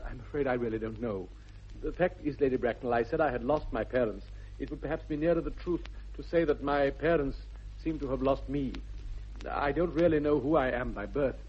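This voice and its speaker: Actor as posh young english gent, really breathy husky voice, earnest n flustered, dull old 60s radio comedy sound. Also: male voice, medium pitch, brisk, lightly acted. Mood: polite, awkward. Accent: upper-class English. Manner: polite, formal, earnest. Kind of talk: 1960s British radio comedy acting. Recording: dull vintage radio recording.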